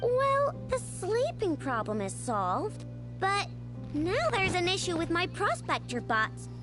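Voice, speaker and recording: High-voiced feisty girl mechanic. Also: wildly swooping pitch, over music, full character voice. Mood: indignant. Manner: emphatic, theatrical, drawn-out words. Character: feisty young girl mechanic, stubborn and dramatic. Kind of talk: video game voice acting.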